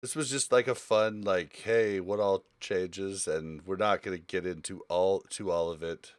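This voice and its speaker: A man with a fairly low voice hosts a casual gaming chat. The sound is dry and close. He talks informally, feeling his way through phrases as he thinks out loud. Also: fairly even intonation, a little slow, no echo. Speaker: podcast host.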